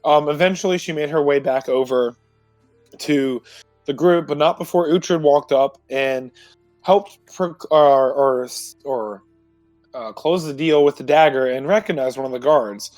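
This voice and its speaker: A man informally recapping a previous tabletop roleplay session as a player, in a halting, self-correcting way. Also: a little high, a little mellow, no echo. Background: music.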